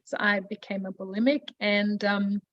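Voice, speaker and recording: A woman's voice: medium pitch, flat delivery, moderate pace. A woman, sharing her personal story hesitantly, with many restarts. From Austria. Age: 40s. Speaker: support group member. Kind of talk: personal testimony. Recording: muffled lo-fi audio.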